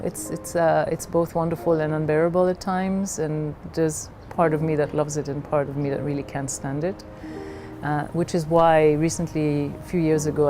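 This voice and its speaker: A middle-aged woman from Belgium telling her personal story in a reflective way, haltingly searching for her words. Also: low-pitched. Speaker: leadership coach.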